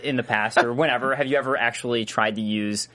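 A man hosting an interview, chatty and agreeable, often chiming in with quick "yeah" and "right". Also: mid-range voice, steady tone, sharp tone. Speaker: interview host. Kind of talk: casual interview chat. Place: studio.

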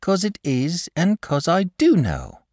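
A man voicing a calm, bookish, self-assured young boy in a storybook, explaining things in a patient, matter-of-fact know-it-all way. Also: fairly high pitch, measured pace, no echo, clean studio sound, voice pushed up. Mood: assured, unruffled. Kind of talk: storybook character voice.

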